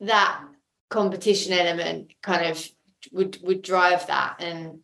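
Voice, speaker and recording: A woman's voice: fairly low pitch, steady tone, unhurried, vocal fry, a bit bright, poor audio. A woman chatting enthusiastically and casually as a guest in an interview. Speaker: podcast guest, audio drama creator.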